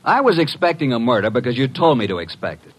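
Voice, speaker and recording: Unflappable male sidekick and narrator for vintage radio mystery, medium pitch, very lively intonation, sardonic. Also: quick pace, husky, rough recording. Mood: peeved, skeptical. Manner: breezy, sardonic, mock-polite. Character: wry, cheeky male detective's assistant, streetwise and unflappable. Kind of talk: 1950s radio drama acting and narration.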